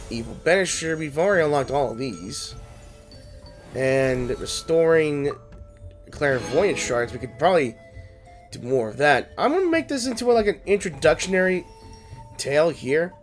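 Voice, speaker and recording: Very animated male gaming commentator. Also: medium pitch. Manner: rambling, thinking aloud. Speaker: gaming video host. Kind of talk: casual game commentary, reading lines aloud. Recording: game music behind the voice.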